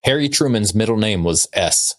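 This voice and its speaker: A man's voice: on the low side, expressive, normal speed. A man co-hosting a podcast, reading out trivia and cracking jokes in a dry, casual way. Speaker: podcast co-host.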